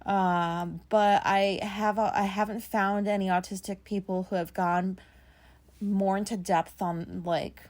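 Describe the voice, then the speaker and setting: A woman in her thirties with a raspy voice, talking casually and rambling on her solo podcast, with many fillers and some swearing. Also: medium pitch, flat delivery, unhurried, darkish tone. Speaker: podcast host.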